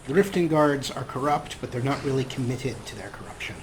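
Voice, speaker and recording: A man commenting over his gameplay with dry, self-mocking humour. His voice sits mid-pitched and conversational, with clear game noise running underneath. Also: lively intonation, a little fast. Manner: wry, self-deprecating. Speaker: let's play host.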